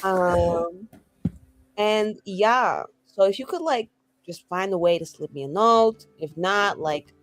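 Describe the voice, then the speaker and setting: A woman's voice: medium pitch, takes it slowly, no room sound, faint music behind. A woman playing a tabletop roleplaying game, improvising a casual message in a chatty, informal, rambling way.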